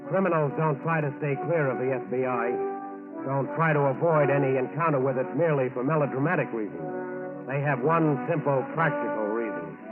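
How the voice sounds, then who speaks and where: A man's voice: mid-range voice, normal speed. A man narrating a scripted 1940s radio crime show in a grave, emphatic manner. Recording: narrow-band old radio, loud music. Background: loud brass orchestral music.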